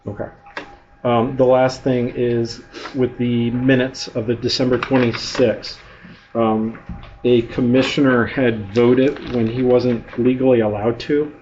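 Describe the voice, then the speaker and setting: A man's voice: medium pitch, level tone, a little slow, a little mellow. A man speaking as a member of the public at a government meeting, pressing officials with persistent, probing questions. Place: indoor public meeting room. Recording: muffled, noisy meeting-room recording.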